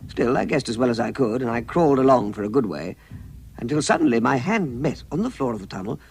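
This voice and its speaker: An actor playing a timid, fussy hobbit in a radio drama, with a hesitant, fretful way of talking. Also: male voice, mid-range voice, lively intonation, fast, a little mellow, husky, rough recording. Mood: earnest, recounting. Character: timid, fussy, flustered hobbit recounting his adventure. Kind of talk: radio drama acting and first-person narration.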